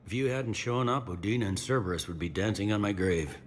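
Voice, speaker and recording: A man playing a gruff, dogged security commander in a video game, speaking in a blunt, world-weary way. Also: fairly low pitch, a little fast, slight background noise, lightly acted. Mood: grateful.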